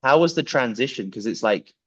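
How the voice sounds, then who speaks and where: A man's voice: mid-range voice, animated, a little fast, husky, highs cut off. A man hosting a podcast, loose and chatty, swearing and restarting his sentences. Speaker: podcast host. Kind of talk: casual interview.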